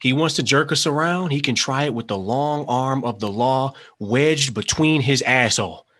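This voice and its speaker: A man's voice: mid-range voice, moderate pace, fairly bright tone, close to an everyday voice. A man acting a hot-tempered, desperate man in a crime drama table read, intense and foul-mouthed. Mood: defiant.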